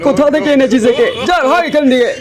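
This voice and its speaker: A man's voice: extremely high pitch, quick pace, crowd noise. A man voicing a hot-tempered, bullying policeman in a comic cartoon dub, scolding and threatening in exasperation. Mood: exasperated. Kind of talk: comic Bengali cartoon dubbing.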